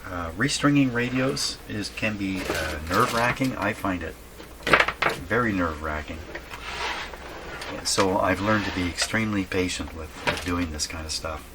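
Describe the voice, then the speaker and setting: A man giving casual hands-on repair narration, halting and thinking aloud to himself as he works. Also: sits low. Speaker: host of a radio repair channel. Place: indoors at a home workshop. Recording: clearly noisy room recording.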